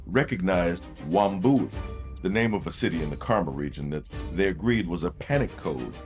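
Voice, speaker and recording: Male narrator for dramatic fantasy audio drama, low register, lively intonation, light music bed.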